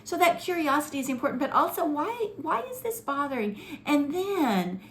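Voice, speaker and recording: A woman's voice: sits high, normal speed, a little hiss or hum. A woman coaching couples in casual talk, acting out example dialogue as she explains. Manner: animated, acts out example dialogue. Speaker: relationship coach.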